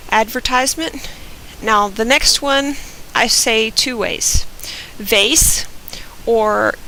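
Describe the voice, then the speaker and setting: Bright-voiced young Southern woman. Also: mid-range voice, slight background noise, low-quality recording. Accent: Southern US, softened by years in Oregon. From Georgia, US. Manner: chatty and unhurried, with frequent "um" fillers. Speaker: vlogger. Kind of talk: casual accent tag video.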